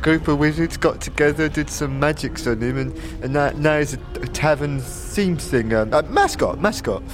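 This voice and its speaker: A man's voice: a little high, moderate pace, lightly acted. A man playing a scruffy drunken tavern regular in a fantasy audio drama, rambling and jittery with slurred, stammering, repetitive speech. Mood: tipsy, rambling. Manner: slurred, stammering, repeats words.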